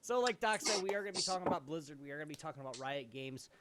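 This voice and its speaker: Bright-voiced man, playful co-host. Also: a little high, animated, very fast, slight background noise. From North America. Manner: playful, bantering. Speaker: co-host of a gaming show. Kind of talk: casual live talk show chat.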